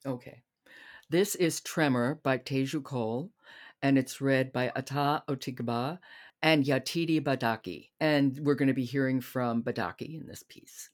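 A woman's voice: a bass voice, normal speed. A woman hosting a podcast, speaking in a conversational interview style as she prompts a guest. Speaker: podcast host.

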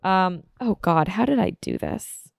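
A woman's voice: mid-range voice, huge pitch swings, measured pace, creaky, dry recording, studio quality. A woman in her twenties hosting her own podcast alone, rambling casually with lots of fillers, a little sniffly from a cold. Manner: rambling, chatty, filler-heavy. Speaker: podcast host. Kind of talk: casual solo podcast chat.

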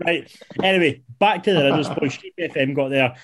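A man's voice: fairly high pitch, brisk, fairly bright tone. A man, hosting a casual podcast chat and reading out riddles, laid-back and sweary. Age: 20s. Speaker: podcast host.

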